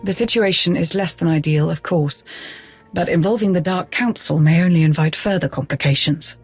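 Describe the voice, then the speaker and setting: Husky, higher-pitched male advisor. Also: moderate pace, dry recording, faint music behind. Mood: concerned, tactful. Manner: formal, deferential. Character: polite, anxious male advisor to a Sith lord. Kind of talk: video game cutscene acting.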